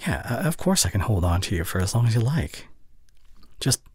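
A man playing a tender, anxious young boyfriend in an ASMR audio drama, speaking intimately and haltingly with breathy sighs. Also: on the low side, very animated, darkish tone, no echo, studio quality, heavily acted. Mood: worried, soothing.

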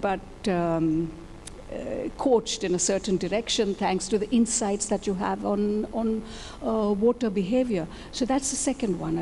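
A woman in her forties sits on a conference stage with a handheld mic, laying out her points as a panelist in a steady, explanatory way. Her voice has a mellow, darker tone. Faint room noise hangs behind her. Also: mid-range voice. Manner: fluent, explanatory, gestures with her hand. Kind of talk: panel discussion.

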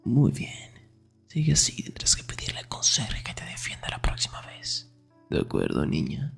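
A man acting a grumpy, jealous, protective young best friend in an intimate Spanish-style ASMR roleplay, breathy and often whispering, defensive and sarcastic. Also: medium pitch, huge pitch swings, normal speed, a bit bright, soft background music, exaggerated voice. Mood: teasing, sarcastic.

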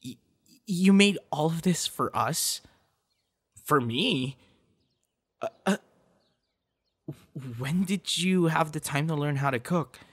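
A young man playing a playful, affectionate boyfriend in an ASMR audio roleplay, with a teasing, encouraging, slightly halting delivery. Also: a little high, slightly rough. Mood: pleasantly surprised. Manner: teasing, encouraging, halting with soft laughs and gasps. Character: playful, affectionate young male voice actor on a date.

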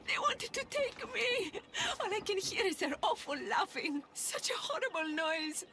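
A woman's voice: very high-pitched, sing-song, a little slow, full character voice. An actress playing a frightened young village mother in a video game, tearful and pleading. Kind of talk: dramatic video game acting.

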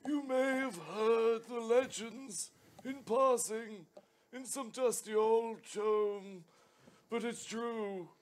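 A man voicing a jolly, pompous old Father Christmas in a live radio play reading. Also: takes it slowly. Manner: booming theatrical delivery with ho ho ho. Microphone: headset.

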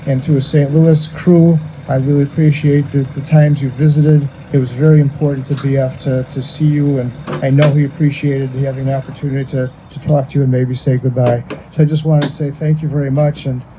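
Halting older American man, fairly even tone. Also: medium pitch. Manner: halting, conversational. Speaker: funeral eulogist. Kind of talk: informal eulogy speech. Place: outdoors under a cemetery tent. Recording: steady outdoor background noise.

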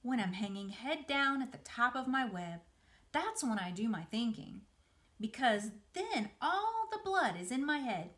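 A woman's voice: on the high side, big pitch swings, measured pace, fairly bright tone, pitched above the performer's own voice. A woman voicing a wise female spider from a storybook, comforting and motherly, giving firm, reassuring instruction. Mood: cool, light.

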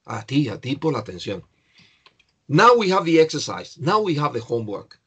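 A man's voice: medium pitch, big pitch swings, normal speed, fairly bright tone, husky. An older man teaching English in an online class, patiently explaining pronunciation to a student over a video call.

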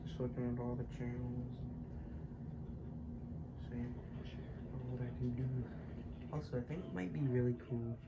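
A man's voice: medium pitch, fairly even intonation, normal speed, creaky, long pauses, highs cut off. A man casually rambling to the camera as he plays with a radio scanner, recorded on a handheld phone in a room at home. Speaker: hobbyist radio scanner vlogger. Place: small indoor room at home. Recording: loud static and noise, home phone recording. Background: radio scanner static.

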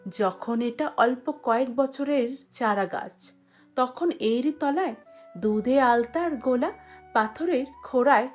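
A woman reading a literary short story aloud as a scripted narration. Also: high voice.

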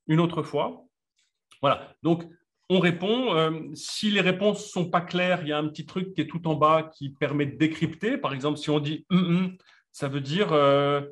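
A man in his fifties hosts a webinar and presents a card game in a casual, hesitant way, full of fillers. His voice sits a little higher than most men's. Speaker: webinar host presenting games. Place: office room with table. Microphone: desk camera mic on video call.

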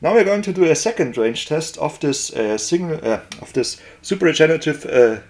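A man's voice: fairly high pitch, lively intonation, normal speed, a little mellow. A man with a German accent, a native German speaker, giving a casual hobbyist demonstration. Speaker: amateur electronics hobbyist presenter.

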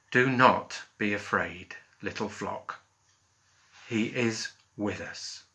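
A British man in his fifties, a minister leading worship with solemn, deliberate phrasing. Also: lowish voice, bright, forceful tone. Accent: British English. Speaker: Methodist minister. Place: small living room at home.

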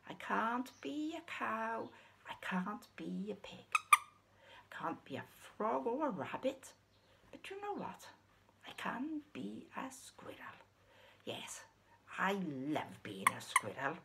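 Puppet show squirrel: woman as timid, wistful young female, soft, breathy and pleading. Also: mid-range voice, big pitch swings, slow pace, very noisy, heavily acted. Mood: reflective.

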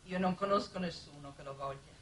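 A woman's voice: low voice, brisk, fairly bright tone. An actress playing a blunt, coolly defiant exiled woman in a 1960s Italian television drama, speaking tersely and with restraint. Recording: noisy old 1960s TV soundtrack.

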